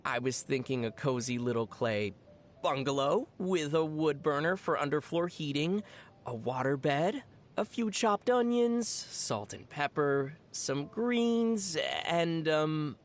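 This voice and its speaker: An actor playing a calm young traveler in video game dialogue, with measured explanations and a dry, deadpan, teasing wit. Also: medium pitch. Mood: deadpan playful.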